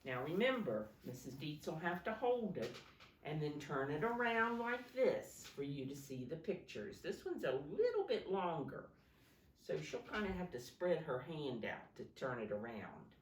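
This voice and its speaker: A woman teacher reading a picture book aloud to preschoolers, expressively, pausing to explain simply and ask the children questions. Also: low-pitched, very animated, a little hiss or hum. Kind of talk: storytime read-aloud for young children. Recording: roomy, echoey sound, distant from mic.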